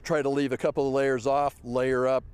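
An older man outdoors, giving practical tips in a brisk, instructive way. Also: medium pitch, level tone, a little mellow, smooth. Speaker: interviewee, sports fan.

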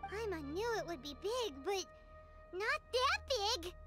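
A woman voicing a tiny, excitable fairy girl companion in a cartoonish video game, squeaky and exclaiming. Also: measured pace, heavily acted. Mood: astonished. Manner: squeaky, exclaiming, refers to herself by name. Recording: loud music behind.

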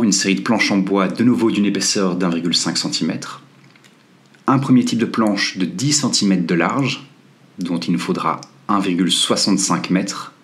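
Low-voiced man narrating a DIY tutorial. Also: husky. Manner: relaxed, friendly, mixes slang with instructions. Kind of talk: casual DIY tutorial voice-over. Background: faint music.

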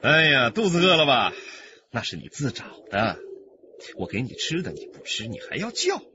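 An actor playing a gruff, threatening kidnapper who bullies a captive child in a radio drama. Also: male voice, mid-range voice, wildly swooping pitch, moderate pace, muffled recording, background noise. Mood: taunting, threatening. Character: menacing male kidnapper bullying a captive child.

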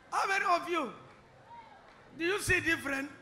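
A man's voice: very high voice, slight background noise. A man preaching and exhorting a congregation on a handheld mic in a large church hall. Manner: exhorting, shouting to the crowd. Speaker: pastor. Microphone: handheld microphone.

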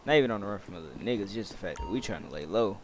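A man's voice: fairly low pitch, expressive, rapid-fire. A young man giving casual, slangy, profane gameplay commentary, often muttering to himself as he plays. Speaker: gamer recording gameplay video. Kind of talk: casual gaming commentary, roleplay. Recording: loud game engine and street noise. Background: video game car and city sounds.

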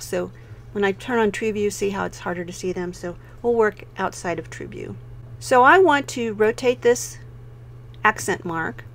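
A woman with an American accent presents a software tutorial as a voice-over, explaining clearly step by step. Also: mid-range voice, a little hiss or hum. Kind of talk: instructional screen-recording narration.

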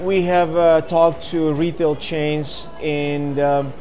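A man with a slightly raised pitch talks earnestly in an interview, pausing on fillers as he goes. He is close on a lapel mic. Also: little pitch movement, mellow, slight background noise. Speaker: marketing director. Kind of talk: on-camera interview.

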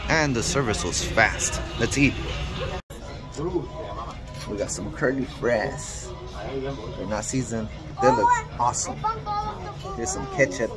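Upbeat man, thirties, casual vlogger, handheld camera in a diner with loud music behind. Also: mid-range voice, big pitch swings, slow pace, long pauses. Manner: upbeat, chatty, narrating as he films. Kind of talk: casual food vlog walkthrough. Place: small diner indoors. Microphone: handheld camera at arm's length. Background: music playing.

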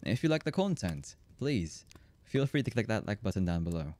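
A man delivers a scripted outro for his channel. His voice is soft-edged and mellow. He is polite and brisk, rattling through the lines like a practised creator. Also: lowish voice, sing-song, dry recording. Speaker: video creator, voice actor.

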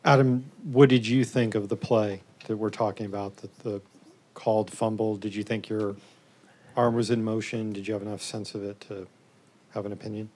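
A man asking players questions in a fairly low, even voice. he probes for detail and stumbles a bit between phrases. he sounds like a sports reporter at a postgame press conference. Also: normal speed. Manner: probing questions, some stumbles.

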